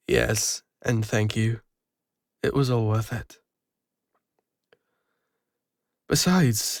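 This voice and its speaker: A man with an English accent playing a gentle, bashful lone ranger and former healer in an intimate audio roleplay, speaking hesitantly. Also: mid-range voice, dry recording, clean studio sound, natural voice. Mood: bashful, reassuring. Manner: hesitant, soft-hearted, halting. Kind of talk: intimate scripted audio roleplay addressed to the listener.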